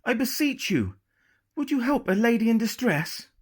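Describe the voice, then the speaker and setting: A man's voice: extremely high pitch, big pitch swings, moderate pace, pitched above the performer's own voice, exaggerated voice. A man voicing a young woman who pleads coyly and formally for help in an audiobook. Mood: pleading. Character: young woman decoy feigning helpless, pleading distress.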